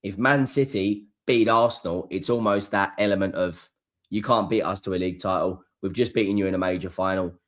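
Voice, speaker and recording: A hoarse man hosts a solo football reaction, talking emphatically and often repeating key words for stress. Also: sits low, fairly quick, fairly bright tone. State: hoarse, coming down with flu. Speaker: football channel host. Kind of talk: solo post-match reaction.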